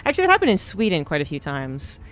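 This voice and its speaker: A man's voice: a little high, fast. A man streaming a game, chatting casually and rambling with lots of fillers. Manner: rambling, relaxed, many fillers. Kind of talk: casual gameplay chat.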